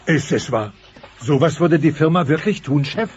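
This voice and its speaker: A man's voice: on the high side, animated, normal speed, darkish tone, lightly acted. An actor playing a timid, naive, good-hearted dinosaur father in a children's audio drama. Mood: naive, hopeful. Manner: stammering when nervous, naive. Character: timid, simple, good-hearted working-class dinosaur dad. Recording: dull lo-fi cassette transfer.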